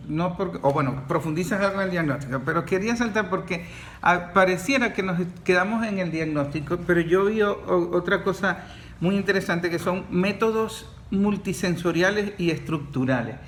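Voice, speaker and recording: A man hosting a podcast, jumping in quickly with questions and asides. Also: high voice, fairly bright tone, echoey room, slight background noise. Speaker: podcast host. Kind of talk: conversational interviewing.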